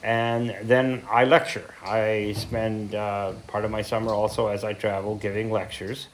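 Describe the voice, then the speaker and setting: A man in his seventies with an American accent, speaking informally as a heritage lecturer, telling his story reflectively with pauses and self-corrections. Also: lowish voice, level tone, slight background noise. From central Idaho, United States. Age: 70s. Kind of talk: informal talk to a local group.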